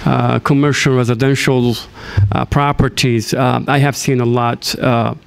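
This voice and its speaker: Halting, gravelly yet clear man. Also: medium pitch, level tone. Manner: halting, hesitant, with many filled pauses. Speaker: city council candidate. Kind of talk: unscripted forum answer.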